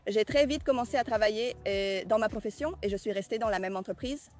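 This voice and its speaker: A woman calmly describing her experiences in an interview. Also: medium pitch, brisk, faint music behind.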